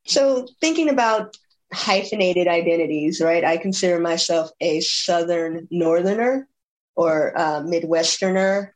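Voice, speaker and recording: Author on a panel: woman from Chicago, lowish voice, pauses and restarts as she thinks. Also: rough recording. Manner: thoughtful, many ums and restarts. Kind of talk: panel discussion.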